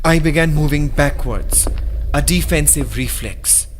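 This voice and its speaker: A man playing a frightened young man who recounts his nightmare in a horror radio play, with dramatic, deliberate delivery. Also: on the high side, close to an everyday voice. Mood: dread. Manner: slow, dramatic, deliberate storytelling. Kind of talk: first-person horror radio drama narration. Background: dramatic music.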